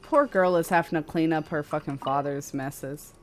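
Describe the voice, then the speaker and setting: A woman's voice: low-pitched, moderate pace, a little hiss or hum. A young woman chatting casually while streaming a game, opinionated and rambling, with slangy, crude turns of phrase. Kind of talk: casual live gameplay chatter. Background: game music and dialogue.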